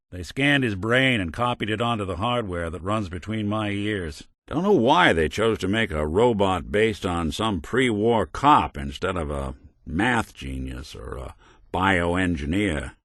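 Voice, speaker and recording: Hard-boiled robot gumshoe from a game, tired old-cop drawl, dry close sound. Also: male voice, lowish voice, a bit bright, no echo, exaggerated voice. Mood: reflective. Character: weary male synth detective, robot with an old cop's memories. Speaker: companion character. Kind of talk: video game voice acting.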